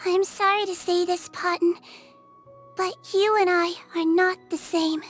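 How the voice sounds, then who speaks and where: Sweet little melusine nurse girl, super high squeaky voice, soft and calm, game cutscene. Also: unhurried, faint music behind. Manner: soft, calm and kindly reassuring. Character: gentle young female melusine nurse. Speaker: head nurse.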